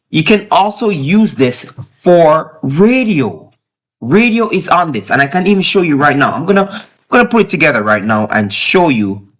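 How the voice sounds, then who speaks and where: A man talking casually to camera as he reviews gear at home, explaining haltingly and repeating phrases for emphasis. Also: medium pitch. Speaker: product reviewer. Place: child's bedroom at home.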